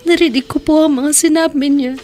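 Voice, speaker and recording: A woman playing a vulnerable, earnest young woman in love in a radio drama, with a soft, pleading delivery. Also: high voice, fairly even intonation, brisk, slight background noise, lightly acted. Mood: resigned. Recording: music bed behind voice.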